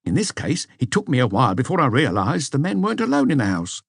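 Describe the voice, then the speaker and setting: A man's voice: mid-range voice, wildly swooping pitch, quick pace, gravelly, slightly rough, no echo, clean studio sound, voice pushed up, heavily acted. A man voicing a hesitant, deferential police inspector giving a report in an audiobook. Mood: uneasy, puzzled. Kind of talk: audiobook character dialogue.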